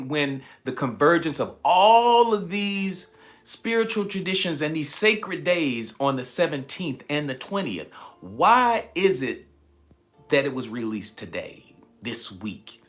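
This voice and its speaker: A man hosting a motivational livestream, speaking emphatically and repeating phrases, his voice slightly raspy and tired. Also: fairly high pitch, a bit bright. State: slightly raspy, tired voice from shouting the night before. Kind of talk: motivational livestream talk, preaching-like.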